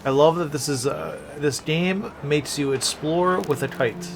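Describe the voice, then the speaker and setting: A man doing casual livestream game commentary, musing aloud haltingly and breaking into exclamations. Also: fairly high pitch, creaky, noisy background. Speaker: game streamer.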